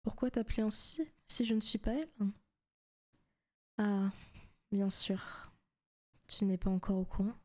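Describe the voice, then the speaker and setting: Possessive female demon played by a woman, seductive ASMR roleplay: soft, intimate, teasing, frequent whispering. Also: medium pitch, steady tone, a little slow, pauses often, close to an everyday voice. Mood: possessive, sweet.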